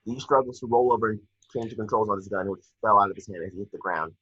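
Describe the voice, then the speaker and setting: Low, muffled man narrating a script. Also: fast talker, bright, forceful tone, husky. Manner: matter-of-fact, with stumbles over words. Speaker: narrator reading action descriptions. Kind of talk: scripted narration at a table read.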